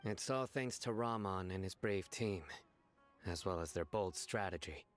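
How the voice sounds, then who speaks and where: Stern composed game soldier, lowish voice, restrained n formal. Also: male voice, a little slow, soft background music. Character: composed, serious male military commander. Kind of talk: video game voice acting.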